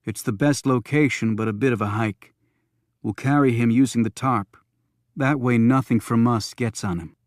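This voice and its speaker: A man's voice: medium pitch, moderate pace, no room sound, clean studio sound, lightly acted. A man voicing a cautious, matter-of-fact criminal in his thirties in a thriller audiobook. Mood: businesslike. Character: stocky, businesslike criminal in his early thirties.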